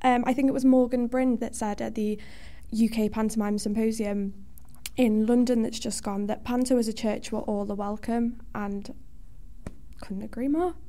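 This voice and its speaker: A woman's voice: a little high, fairly even intonation, moderate pace, dark tone. A young woman with a Liverpool Scouse accent, chatting casually as a student guest in an interview. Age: young adult.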